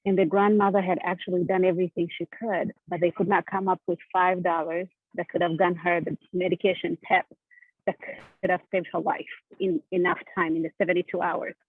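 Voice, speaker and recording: A woman's voice: fairly low pitch, flat delivery, fairly quick, slightly rough, no echo. A woman from East Africa, a therapist and founder, telling her story earnestly as a panel guest. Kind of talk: panel interview answers. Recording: tinny phone-like video call audio.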